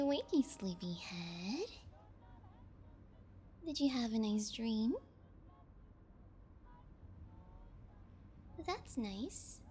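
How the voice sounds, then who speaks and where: A woman's voice: high-pitched, darkish tone, a little hiss or hum, full character voice. A woman voicing a playful, sociopathic young female fiend kidnapper in an ASMR roleplay, with sugary taunts and theatrical pouting. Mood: sweetly menacing.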